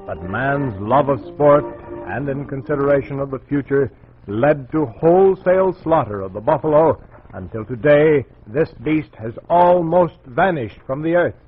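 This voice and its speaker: A man's voice: mid-range voice, lively intonation, normal speed, soft background music. An American man announcing a scripted 1940s radio program in a formal, declamatory way. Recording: tinny vintage 1940s radio broadcast.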